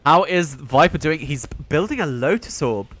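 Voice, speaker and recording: A man's voice: on the high side, lively intonation, moderate pace, a bit bright, no echo. A young British man giving solo play-by-play commentary on a live esports stream, chatting with his viewers between plays. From Britain. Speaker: esports caster.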